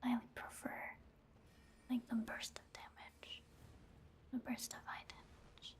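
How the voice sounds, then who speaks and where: A woman giving soft-spoken ASMR commentary over gameplay in a breathy, hushed whisper, unhurried. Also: fairly high pitch, monotone. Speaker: ASMR video creator narrating her gameplay.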